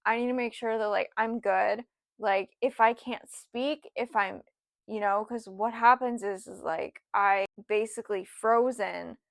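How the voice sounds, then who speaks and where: A woman in her twenties, rambling through a casual personal story with lots of fillers, her voice sometimes dropping soft. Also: mid-range voice, steady tone, measured pace, gravelly, no room sound. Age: 20s. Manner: rambling, lots of "like" and "you know", voice drops soft at times. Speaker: vlogger.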